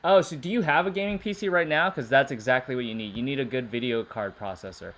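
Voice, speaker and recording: A man's voice: medium pitch, quick pace, fairly bright tone. A man chatting casually and easily, laughing and agreeing often. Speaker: conversation partner. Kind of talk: casual chat.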